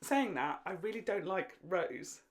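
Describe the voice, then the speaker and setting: British woman podcaster, very animated, swooping delivery. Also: fairly low pitch, measured pace. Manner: playful, jokey, opinionated. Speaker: podcast co-host. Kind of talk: casual podcast chat.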